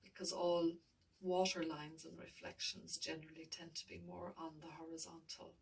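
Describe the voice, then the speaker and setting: A woman's voice: fairly low pitch, level tone, moderate pace, creaky, far from the mic, low-quality recording. A woman art tutor talking through her painting as she works, thinking aloud, often mumbling and trailing off.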